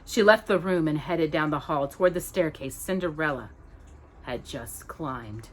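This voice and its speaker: A woman's voice: sits low, normal speed, slight background noise. A woman reading a children's story aloud with dramatic, emphatic narration. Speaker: storytime reader.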